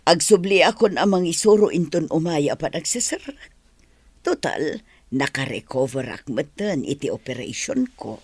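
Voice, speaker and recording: A woman with a Filipino accent playing a devoted middle-aged mother in a sentimental audio drama, breathy and tender. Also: sits low, very animated, normal speed, no room sound, exaggerated voice. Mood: tender, determined.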